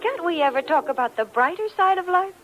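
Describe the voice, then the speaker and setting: A woman's voice: very high-pitched, animated, a little fast, muffled recording, over music. An American woman with an emphatic, chatty sales delivery, reading scripted banter in 1940s radio style. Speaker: sponsor spokeswoman and co-host. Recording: old 1940s radio broadcast recording.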